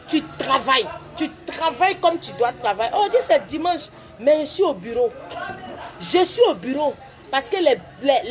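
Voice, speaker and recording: A woman, talking to camera from an office with a cold, preaching and laughing in loud, lively street talk. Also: high voice. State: has a cold. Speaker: vlogger.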